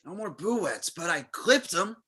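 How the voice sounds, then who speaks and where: A man playing a brash, crude young slacker sidekick in an amateur script reading, loud and cocky. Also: high voice, sing-song, moderate pace, breathy edge, full character voice. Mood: cocky, annoyed.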